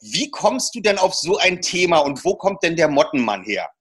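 Man, host, interviewer, slightly higher voice, husky, friendly. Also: sing-song, moderate pace, a bit bright. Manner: friendly, asks questions with fillers. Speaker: podcast host.

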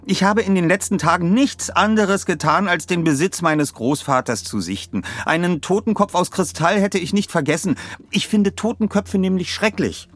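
A man's voice: high-pitched, moderate pace, a bit bright, pitched above the performer's own voice. A man voicing a stressed, irritated woman with a flustered manner for an audio story. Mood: irritated, firm. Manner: flustered, hesitant interjections, sighing. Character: Julia Scott, stressed, irritated adult woman running a house sale.

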